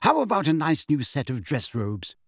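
A man's voice: a little high, huge pitch swings, moderate pace, no echo, clean studio sound, exaggerated voice. A man voicing a doting, fussy middle-aged mother who gushes breathlessly in an audiobook story. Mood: breathless, fond. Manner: breathless, gushing.

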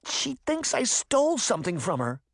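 A man's voice: very high voice, very animated, unhurried, gravelly, pushed and bright, no room sound, studio quality, voice pushed up, exaggerated voice. A man voicing a defiant, indignant young girl in a storybook, blunt and exasperated as she cries out.

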